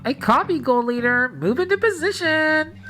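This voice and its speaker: A woman's voice: high-pitched, animated, normal speed, fairly bright tone, soft background music. A woman voicing urgent, clipped rebel fighter pilots in an amateur table read of a film script. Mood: focused, clipped.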